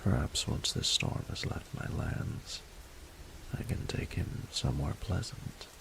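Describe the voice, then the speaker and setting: Man acting as a rumbling, gravelly, seductive dragon lord. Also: noisy background, heavily acted. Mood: wistful, fond. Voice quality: very deep, gravelly, breathy half-whisper. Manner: slow, formal, archaic, seductive phrasing. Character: courtly, flirtatious adult male dragon in human form. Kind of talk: scripted romantic audio roleplay.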